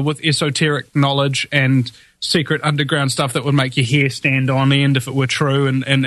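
Male radio host, higher-pitched whiny voice, candid meandering monologue, dry booth sound. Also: fairly bright tone, dry recording. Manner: candid, rambling, self-critical. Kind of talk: solo talk-radio monologue.

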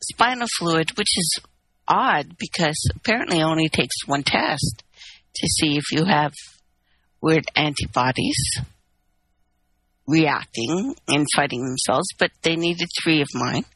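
A woman's voice: deep voice, animated, unhurried, a bit bright, breathy edge, no echo, rough recording. Candid, rambling Canadian-born woman. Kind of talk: casual phone-in chat.

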